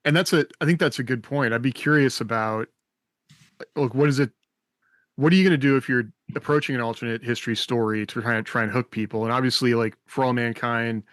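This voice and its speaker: A man's voice: mid-range voice, fairly quick, fairly bright tone, dry recording. Dry, close-sounding male podcast co-host. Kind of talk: casual panel discussion.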